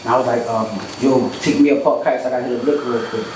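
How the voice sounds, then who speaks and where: Male guest, Baltimore accent, rapid casual slang, slightly high pitch, recorded with heavy echo and faint noise. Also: fairly even intonation, a little mellow. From Baltimore, United States. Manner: fast-talking, slangy. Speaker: podcast guest, comedian. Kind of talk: casual interview chat. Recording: echoey room, background noise.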